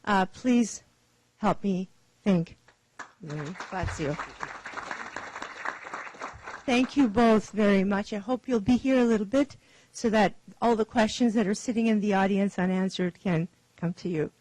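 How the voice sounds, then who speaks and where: A woman moderating a conference who introduces a guest speaker, with a hesitant delivery and many pauses. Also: mid-range voice, darkish tone, a faint crowd. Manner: hesitant, many fillers and restarts.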